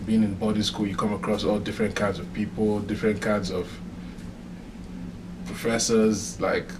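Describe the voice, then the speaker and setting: A man's voice: on the low side, little pitch movement. A young man from Nigeria, a student answering interview questions in a hesitant way with many ums. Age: young adult. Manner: hesitant, fills pauses with um. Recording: distant mic with clear background noise.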